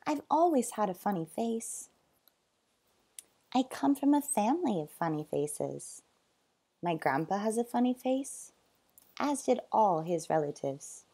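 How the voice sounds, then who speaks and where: A woman voicing a shy young boy, speaking up in a high, earnest voice. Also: big pitch swings, unhurried, pauses often, warm tone, slightly rough, heavily acted. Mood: wistful.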